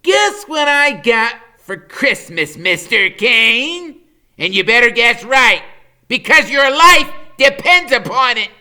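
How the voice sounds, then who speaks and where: A man's voice: very high voice, very animated, slow pace, bright, forceful tone, voice pushed up, full character voice. A man voicing a brave, brainy little boy in an audiobook, with a bold, cocky, theatrical manner. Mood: cocky, threatening. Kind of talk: dramatic audiobook character reading.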